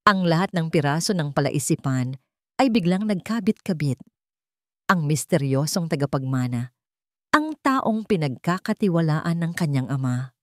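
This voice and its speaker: A woman reads a scripted story as a dramatic narrator. Her voice sits on the low side and moves widely in pitch. The sound is dry and close, with no room around it. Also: animated, normal speed, dry recording.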